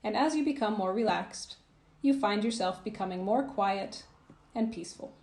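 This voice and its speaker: A woman narrating a guided visualisation as a coach, in a soothing, gentle, measured manner with phrases that trail off softly. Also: mid-range voice, reverberant. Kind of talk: guided meditation, scripted narration.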